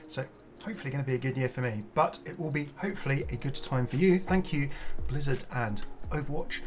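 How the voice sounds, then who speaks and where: A man hosting a gaming video, giving casual, chatty and enthusiastic commentary with rambling asides. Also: medium pitch. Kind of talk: casual game showcase commentary. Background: music.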